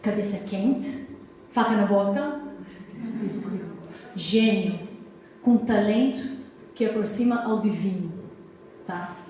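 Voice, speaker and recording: A woman's voice: mid-range voice, takes it slowly, pauses often, slight background noise. An American woman from Massachusetts with a Portuguese accent, English her first language, giving a chatty public lecture as a historian and checking in with the audience. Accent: Portuguese (Azorean family), American English. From United States, Massachusetts. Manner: warm, chatty, asks the audience questions, frequent "tá?" check-ins. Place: hall of a cultural society. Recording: echoey hall, mic far off.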